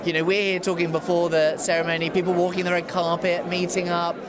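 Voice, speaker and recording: A man's voice: high voice, monotone, quick pace. A man being interviewed as an association chairman, enthusiastic and effusive, piling up emphatic lists. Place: theatre venue during red carpet arrivals. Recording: loud crowd chatter in public venue.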